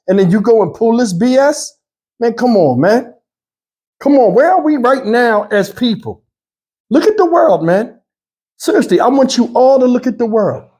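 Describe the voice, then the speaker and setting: Male online commentator, high but soft-edged voice, speaking off the cuff with pleading exasperation. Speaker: YouTube news commentator. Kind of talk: off-the-cuff opinion commentary to viewers.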